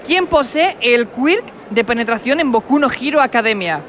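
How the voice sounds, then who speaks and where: A man's voice: extremely high pitch, expressive, moderate pace, fairly bright tone, slight background noise. Brash young man. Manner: brash, mocking, playful insults. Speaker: host of street quiz. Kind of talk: teasing street quiz interview. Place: indoor convention hall. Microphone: handheld mic with furry windscreen.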